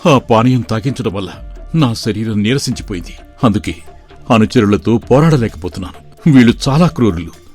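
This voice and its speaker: A weak, gentle young nobleman. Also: fairly low pitch, expressive, normal speed, faint music behind. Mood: earnest. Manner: earnest, pleading.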